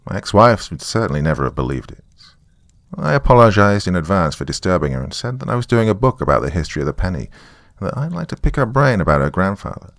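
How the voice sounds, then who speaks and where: Man acting a deep-voiced, weary, haunted writer. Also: very animated, quick pace, no echo. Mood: wry, reminiscing. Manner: musing, wry, conversational asides. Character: weary, frightened male writer recording a confession on tape. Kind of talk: first-person horror story narration.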